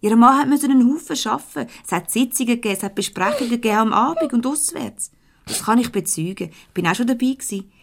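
Fast-talking, prim Swiss secretary. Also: female voice, fairly high pitch, animated, creaky. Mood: defensive. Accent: Swiss German. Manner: brisk, defensive. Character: loyal, exasperated young office secretary, prim. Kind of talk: Swiss comedy radio play acting.

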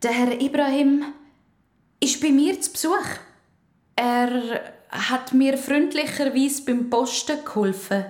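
A friendly mother from a children's radio play , acted by a woman. She sounds assertive, with a slightly high voice. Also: slow pace, a little mellow, echoey room. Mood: firm, explaining. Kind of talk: children's audio drama acting.

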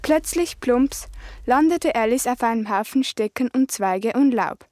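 A woman's voice: on the high side, expressive, unhurried, darkish tone, no echo. A woman reading a children's story as scripted narration.

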